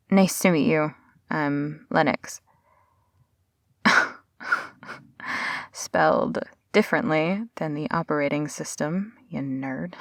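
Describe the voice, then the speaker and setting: A woman's voice: low-pitched, no echo, studio quality. A woman playing a sarcastic, brusque but friendly workaholic researcher in a sci-fi audio roleplay, with a casual, wry delivery. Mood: resigned, polite, then wry, amused.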